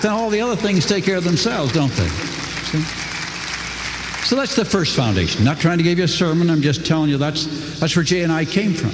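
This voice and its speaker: An older man giving a folksy, earnest motivational speech to a crowd, his pitch swooping for emphasis. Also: slight background noise. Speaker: company co-founder speaking to a crowd. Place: large hall before an audience. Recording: echoey hall, faint ambience.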